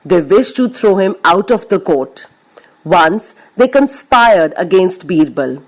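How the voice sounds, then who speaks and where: A woman reading a children's story aloud in an expressive, scripted narrating style. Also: lowish voice, slow pace, poor audio. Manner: expressive read-aloud delivery.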